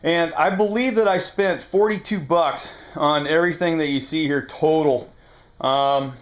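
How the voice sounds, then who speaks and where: A man's voice: fairly high pitch, measured pace. Slangy American man, muffled lo-fi sound. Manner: laid-back, slangy, folksy. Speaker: reseller showing yard sale finds. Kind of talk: casual chat to camera. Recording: dull lo-fi camera audio.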